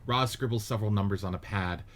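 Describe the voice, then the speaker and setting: A man narrating the stage directions of a script reading in a steady, matter-of-fact way. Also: fairly low pitch, quick pace.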